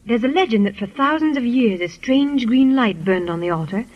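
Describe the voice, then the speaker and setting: Sweetly scheming young woman, feigned innocence, slightly husky, vintage radio serial, dull old recording. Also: on the high side, lively intonation, moderate pace. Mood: hushed storytelling. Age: young adult. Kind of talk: 1930s radio serial acting.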